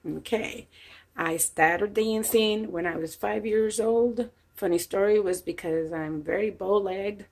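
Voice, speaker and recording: A woman's voice: medium pitch, a little slow, vocal fry. Chatty Puerto Rican woman in her fifties. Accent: Puerto Rican Spanish. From Puerto Rico. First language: Spanish. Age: 50s. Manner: chatty, laughs easily, many fillers. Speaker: channel host. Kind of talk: casual chat to camera.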